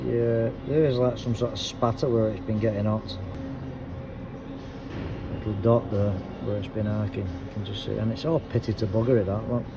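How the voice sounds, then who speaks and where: A middle-aged man, a car mechanic, talking casually while he works through a fault, his voice hoarse and fading, with his phrases trailing off quietly. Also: on the low side, steady tone, moderate pace. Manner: chatty, thinks aloud, trails off quietly at the end of phrases. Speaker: car mechanic, auto electrician. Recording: noisy workshop with vehicle sounds.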